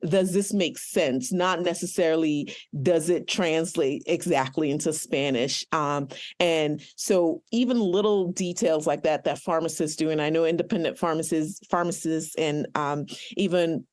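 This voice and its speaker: A woman pharmacist answering interview questions earnestly, with many pauses and ums; English is not her first language. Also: low voice, a little mellow. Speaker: pharmacist guest.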